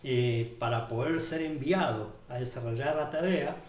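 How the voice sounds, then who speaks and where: A man's voice: medium pitch, unhurried, darkish tone. A man with an Argentine accent, a pastor preaching a sermon, calling the listeners beloved and checking in with them often as he goes. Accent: Argentine Spanish. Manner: repeats tag questions, list-like phrasing, addresses listeners as beloved. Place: church hall. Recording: echoey church room from far off.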